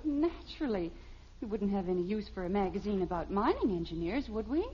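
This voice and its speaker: An American actress playing a sweet, innocently evasive housewife and mother in a 1950s radio sitcom, placating and gently defensive. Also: mid-range voice, very animated, fairly quick, close to an everyday voice. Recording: dull, slightly noisy old radio recording.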